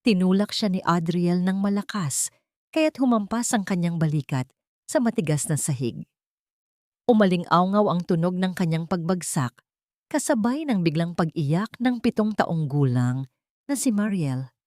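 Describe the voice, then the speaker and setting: Female audiobook narrator, slightly low register, scripted dramatic storytelling, very dry sound. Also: animated, measured pace, darkish tone, no echo.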